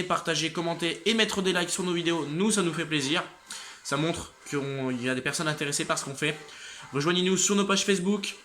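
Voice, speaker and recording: A worn-out young man in his late teens gives his viewers a casual video update. His voice has a bright, forceful edge, though fatigue and a slight cold leave him congested and stumbling. His sentences stall and restart, and faint music plays behind him. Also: on the high side. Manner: halting, trailing off and restarting sentences. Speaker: motorcycle channel host.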